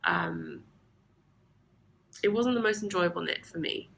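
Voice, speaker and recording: A woman's voice: mid-range voice, a little slow, vocal fry, slight background noise. A woman hosting a knitting video podcast from her home, chatting casually with lots of ums, slightly sniffly from allergies. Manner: chatty, with frequent ums and soft asides. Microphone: camera mic.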